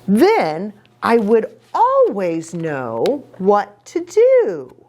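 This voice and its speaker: A woman's voice: medium pitch, wildly swooping pitch, drawn-out words, a little mellow, full character voice. A woman voicing an earnest, uncertain young boy who is wondering aloud in a children's storybook.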